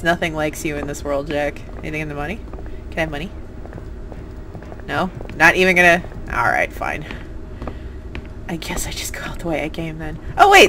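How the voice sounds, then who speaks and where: A woman's voice: deep voice, bright, forceful tone. A woman giving casual, playful and sarcastic commentary on a game, often exclaiming at it. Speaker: gaming host. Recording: faint game noise behind.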